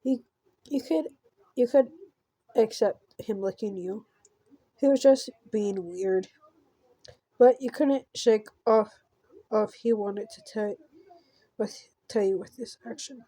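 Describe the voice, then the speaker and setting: A woman reading visual novel text aloud in an amateur let's play, halting and often repeating words as she goes. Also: a little high, mellow, dry recording, poor audio.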